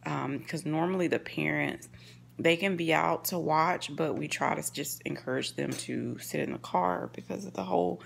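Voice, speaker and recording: A woman's voice: low voice, moderate pace, creaky, slight background noise. A woman in her thirties chatting casually to her phone camera at home, hot and tired after cleaning, talking in a loose way with plenty of fillers. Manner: chatty, loose, many fillers, addresses viewers as y'all. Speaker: vlogger. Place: home kitchen and living room, indoors. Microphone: phone camera held close.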